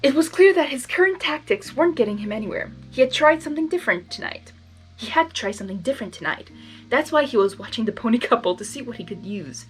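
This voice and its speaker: Expressive high-voiced woman reading a story. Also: fast talker, soft background music, rough recording. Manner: expressive, animated asides. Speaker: reader hosting a fan fiction reading. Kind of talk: story narration with casual reactions.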